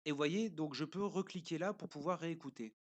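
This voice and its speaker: A man speaking casually as a parent reviewing a learning platform, pausing often with fillers and explaining step by step while he shows a screen. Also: a little high. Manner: hesitant, pauses often with fillers.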